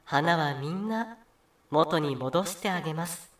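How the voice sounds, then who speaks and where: A man voicing a gentle female flower fairy in a storybook tale, soft, hushed and comforting. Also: a little high, expressive, unhurried, distant mic. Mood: soothing.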